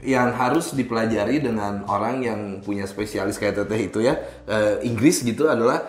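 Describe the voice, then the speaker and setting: A man's voice: fairly low pitch, moderate pace. Jokey male host in an echoey room. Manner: jokey, lots of okays and laughs. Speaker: talk show host. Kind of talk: casual interview hosting. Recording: echoey room with faint music.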